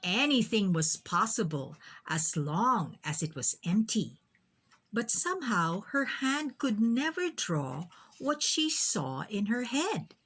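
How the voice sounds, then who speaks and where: A woman reading a children's picture book aloud in an expressive, deliberate storytelling manner. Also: mid-range voice, breathy edge. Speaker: storybook reader.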